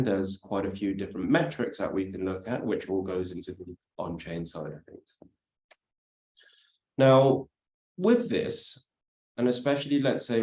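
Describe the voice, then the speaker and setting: A man's voice: fairly low pitch, darkish tone, reverberant, poor audio. A man from the UK with a British accent, giving an explanatory conference presentation. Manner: explanatory, frequent "let's say" and "for instance". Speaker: conference speaker. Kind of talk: presentation talk.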